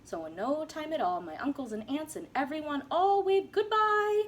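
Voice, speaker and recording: A woman teacher reading a rhyming picture book aloud in an animated, sing-song way. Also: sits high, normal speed, slight background noise. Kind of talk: storybook read-aloud.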